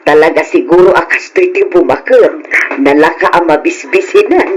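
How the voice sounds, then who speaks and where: An actor playing an affectionate older parent in a radio drama, chatting fondly with an Ilocano accent. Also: male voice, on the high side, animated, normal speed, lo-fi sound, natural voice. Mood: cheerful, doting. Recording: old radio drama, faint noise.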